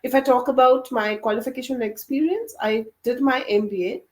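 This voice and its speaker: A woman's voice: sits high, brisk, a little mellow. A woman, leading an English practice session as mentor over a video call, often repeating words as she prompts. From Delhi, India. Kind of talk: hosting a conversation practice session.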